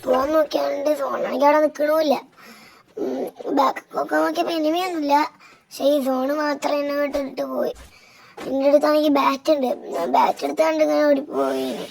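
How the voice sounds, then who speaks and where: A gamer with a northern Kerala Malabar accent gives casual, halting commentary, recounting gameplay moves step by step. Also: sits high, steady tone, gravelly, poor audio. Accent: northern Kerala Malabar Malayalam.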